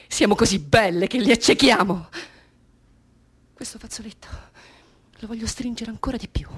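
An actress playing an anguished, defiant grieving mother in radio theatre, intense and theatrical, with a husky voice that often drops to a whisper. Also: lowish voice. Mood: defiant, agitated, then tender, weary, hushed. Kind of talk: dramatic radio theatre monologue.

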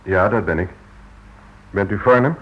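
A husky, low-voiced convict in an old radio drama, cool and sardonic. He is mockingly polite, and the recording is dull. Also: measured pace. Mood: wry, amused. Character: cool, sardonic male convict receiving a prison visitor. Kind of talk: radio play acting in Dutch.

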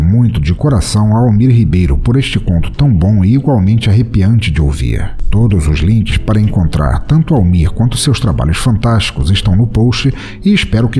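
A man with a deep, mellow voice narrates a horror story in the first person, his pitch swinging widely for dramatic effect. He hosts the podcast himself, and faint music sits under his theatrical reading. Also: moderate pace, few pauses. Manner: theatrical, expressive delivery.

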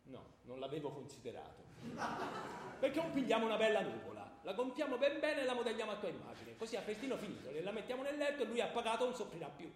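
Echoey hall, indulgent divine husband, high swooping voice, wheedling, colloquial, comic radio play. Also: male voice, huge pitch swings, quick pace, crowd noise. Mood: scheming. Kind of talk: comic radio theatre dialogue. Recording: echoey hall with faint background noise.

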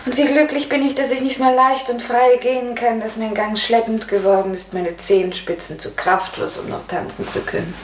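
A woman's voice: on the high side, steady tone, normal speed, hardly any pauses, close to an everyday voice. An actress playing a fragile, fearful serf woman who once danced, earnest and hesitant, in an old radio play. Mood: wistful sorrow. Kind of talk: 1950s German radio play acting. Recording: echoey, hissy 1950s radio recording.

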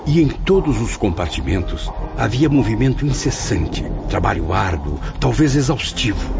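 A man playing an earnest spirit of the afterlife in a radio drama, with a grave, literary delivery. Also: mid-range voice. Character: earnest male suicide spirit recounting the afterlife colony. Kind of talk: radio drama narration and dialogue. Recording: dull radio drama with music bed.

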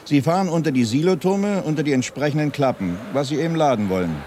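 A man's voice: a little high, expressive, fast talker, darkish tone, natural voice. An actor playing a male industrial site guide in a radio play, explaining things factually and evasively. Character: matter-of-fact, evasive male silo facility guide. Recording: faint urban outdoor noise with vehicles.

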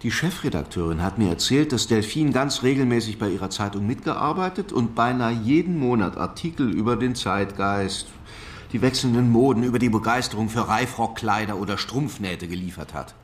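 An actor playing a pensive, stubborn police commissioner in a radio crime drama, speaking tersely and with quiet insistence. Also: male voice, lowish voice, expressive, fairly quick, a little hiss or hum, lightly acted. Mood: doubtful, pensive.

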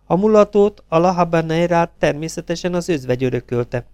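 A cocky young secretary lady, as a male reader puts her on, scornful and biting, pitched up high and lively, full of scorn for the cop in front of her. the reading is dry and close with no room around it. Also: clean tone, no room sound, close to an everyday voice. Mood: mocking. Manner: mocking, scornful. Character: sharp-tongued young woman secretary, mocking.

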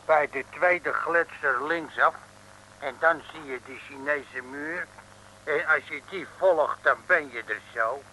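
A plaintive, lonely yeti monster in an old comic radio serial. The voice is high for a male and very bright, with slow, whining delivery, on a noisy vintage recording. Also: exaggerated voice. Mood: meekly helpful. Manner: whining, pleading. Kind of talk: 1950s-60s Dutch comic radio serial acting.

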